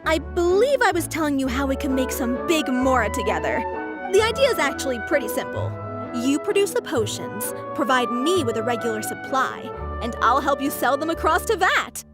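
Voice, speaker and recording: Eager, high-voiced money-loving merchant girl. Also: very animated, normal speed. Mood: businesslike. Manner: persuasive sales patter, prone to giggling. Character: eager, money-loving young female merchant with big ambitions. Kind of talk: video game voice acting. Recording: game music behind the voice.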